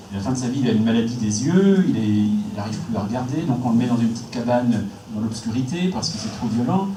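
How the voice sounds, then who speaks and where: Male priest, animated conference talk, restarts often, roomy hall, faint background hum. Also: mid-range voice. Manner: animated, acts out inner voices, many restarts. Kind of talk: lively conference talk on faith and ecology. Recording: echoey hall, distant mic, faint background.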